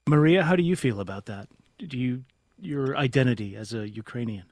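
A man's voice: medium pitch, animated, brisk, a little mellow, dry recording. Male interviewer, dry close sound. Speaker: radio interviewer. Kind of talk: conversational news interview.

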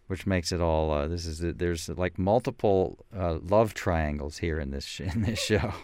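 Male radio host, relaxed chat, studio mic, resonant, deep. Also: moderate pace, no room sound. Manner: relaxed, with frequent fillers and restarts. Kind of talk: casual radio interview. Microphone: studio microphone.

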